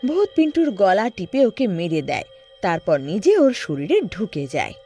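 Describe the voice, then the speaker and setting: A woman's voice: medium pitch, big pitch swings, normal speed, mellow, soft background music. A woman narrating a scripted story in a dramatic storytelling style.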